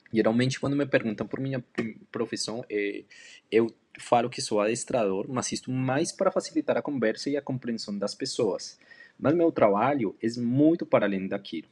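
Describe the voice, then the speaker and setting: Male dog educator, native Spanish speaker from Colombia with audible accent, mid-range voice, measured self-introduction talk with hesitant pauses. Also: mid-range voice, a little mellow. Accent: Colombian Spanish accent in Portuguese. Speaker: dog trainer and canine educator.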